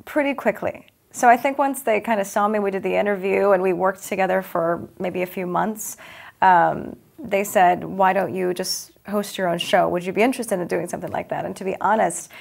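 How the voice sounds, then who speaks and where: A woman's voice: medium pitch, fairly even intonation, brisk, vocal fry. A young woman from Los Angeles whose first language is Farsi, a TV news anchor answering interview questions in an upbeat, chatty way with frequent ums.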